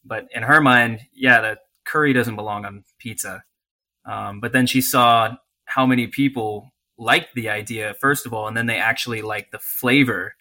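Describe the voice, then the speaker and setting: A man's voice: medium pitch, steady tone, moderate pace, sharp tone. A man who co-owns a food truck, answering interview questions casually in a rambling, hedging way.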